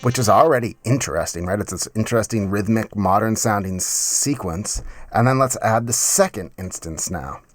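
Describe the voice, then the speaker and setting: A man in his thirties giving a casual, enthusiastic music software tutorial in a conversational way, close on a mic in a home studio. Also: fairly low pitch, animated, moderate pace, hardly any pauses, a little hiss or hum. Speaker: music production tutorial host. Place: small home studio, indoors.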